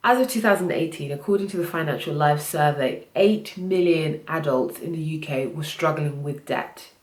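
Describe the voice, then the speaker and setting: A woman's voice: sits low, normal speed, a little mellow. A young woman vlogging about personal finance, her voice a little off from a cold, talking earnestly and correcting herself as she goes. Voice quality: voice slightly off from a cold. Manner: earnest, self-correcting explainer. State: has a cold. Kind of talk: casual talk to camera. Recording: echoey room.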